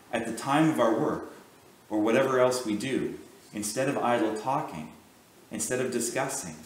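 A man giving a sermon as a priest, speaking in a measured, earnest way with deliberate pauses. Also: medium pitch, echoey room, recorded from a distance, slight background noise, poor audio.

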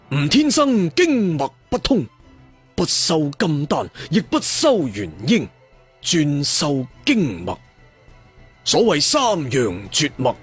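A man's voice: a little high, slightly rough, heavily acted. A man voicing a proud, aloof ancient cultivation master in a Cantonese audio story, solemn and declamatory, drawing out his phrases. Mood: chanting, solemn. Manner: slow, drawn-out, declamatory. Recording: music behind the voice.

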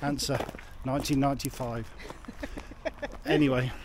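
A man's voice: medium pitch, steady tone, normal speed, background noise. A man in his fifties from England, chatting casually and jokily to a handheld camera while walking around outdoors. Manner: chatty, jokey, rambling with restarts. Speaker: vlogger. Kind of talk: casual travel vlog chat. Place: outdoors in town streets and a garden. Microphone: camera held at arm's length.

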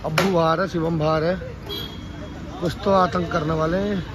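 A man's voice: on the high side, steady tone, moderate pace. A young man vlogging casually on a phone held at arm's length, teasing friends and chatting playfully at the gym, in a car and on the street. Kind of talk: casual vlog chat. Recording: noisy street and gym background.

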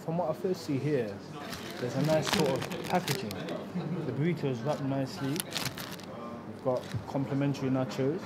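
Young guy, casual food reviewer, relaxed chatty delivery, handheld mic, loud background music. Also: medium pitch, moderate pace, rough recording. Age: young adult. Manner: relaxed, chatty, eats while talking. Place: street outside a restaurant, then small canteen indoors.

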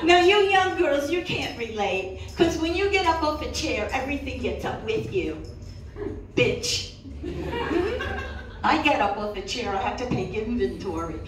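An older woman performing a bawdy, self-deprecating stand-up routine, with punchy delivery and drawn-out pauses. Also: on the high side, animated. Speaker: stand-up comedian. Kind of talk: live stand-up comedy routine. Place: small cat cafe room with audience, indoors. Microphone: handheld microphone, recorded by camera from audience. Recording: roomy, echoey, distant mic, some room noise.

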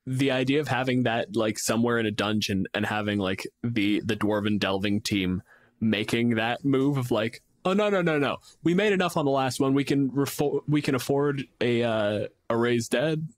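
A man's voice: mid-range voice, normal speed, no room sound, studio quality. A man co-hosting a casual, unscripted chat about tabletop games, swearing now and then and playing out hypotheticals. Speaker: podcast co-host.

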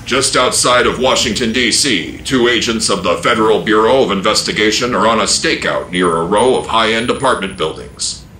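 A man narrating an old-time radio drama as a portentous, deliberate announcer. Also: fairly low pitch, bright, forceful tone, echoey room, a little hiss or hum. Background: orchestral score.

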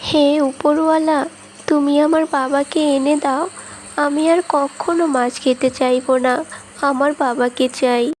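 A woman's voice: sits high, fairly even intonation, unhurried, darkish tone, slight background noise. A woman voicing a sweet, dutiful young daughter in a village cartoon, with an earnest, childlike manner. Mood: sad, worried. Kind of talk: Bengali moral-tale cartoon dub.

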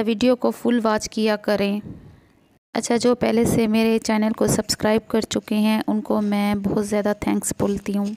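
Gravelly-voiced woman narrating her cooking. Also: mid-range voice, a little mellow. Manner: chatty, filler "achha" at each step. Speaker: cooking vlogger. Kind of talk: casual voice-over narration of cooking.

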